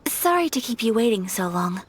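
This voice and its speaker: A woman voicing a composed, polite young noblewoman who speaks softly and with measured care, in video game dialogue. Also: fairly high pitch, very animated, background noise. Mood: earnest. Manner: soft-spoken, measured and courteous. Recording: game audio with faint music.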